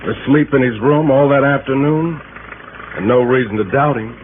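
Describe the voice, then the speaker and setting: A man's voice: medium pitch, normal speed, close to an everyday voice. An actor playing a confident small-town lawman in a 1950s radio drama, plain-spoken and insistent, with some hesitation. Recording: narrow, noisy old radio broadcast.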